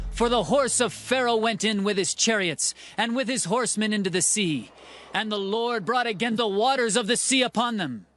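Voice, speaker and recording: Moses: high, solemn declaiming over music. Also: male voice, a bit bright. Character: Moses, man singing praise to God. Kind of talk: dramatized scripture reading. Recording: music bed behind.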